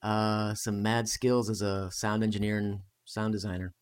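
A man's voice: on the low side, flat delivery, moderate pace. A middle-aged man talking casually as a guest on a podcast. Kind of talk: casual conversation.

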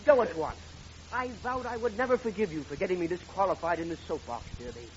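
Haughty, very high-voiced rich son. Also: male voice, lively intonation, fast talker. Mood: cold outrage. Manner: affected, pompous, imperious. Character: haughty, posh millionaire son, coldly dramatic. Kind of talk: 1940s radio comedy melodrama. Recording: dull, noisy old radio recording.

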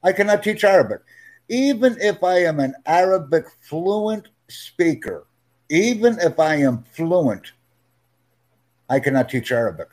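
Male tutor, slow and clear, a bit high, friendly and insistent. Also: big pitch swings, a little mellow. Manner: speaks slowly and clearly, friendly, emphatic repetition. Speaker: English tutor. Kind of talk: slow, clear teaching talk.

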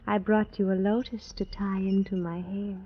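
A woman playing a gentle, devoted young woman in an old-time radio drama, speaking in stylized archaic phrases. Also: mid-range voice, little pitch movement, normal speed, a little hiss or hum, natural voice. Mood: tender, wistful. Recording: dull old radio recording.

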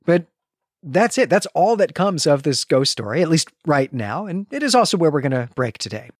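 Higher-voiced male podcast co-host, dry recording. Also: big pitch swings, normal speed, no room sound. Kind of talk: conversational recap of a novel.

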